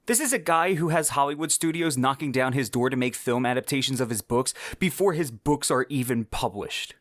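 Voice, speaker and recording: A man's voice: medium pitch, a bit bright, no room sound, clean studio sound. A man hosting a podcast, giving a scripted book review in an enthusiastic, conversational way with casual asides. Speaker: podcast host.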